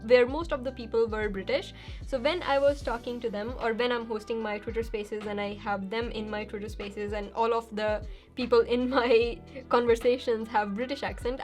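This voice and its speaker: Young female vlogger, slightly high pitch, chatty and laughing, faint music bed. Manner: chatty, laughs easily, reads questions aloud then answers. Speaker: YouTuber answering viewer questions. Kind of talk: casual Q&A vlog.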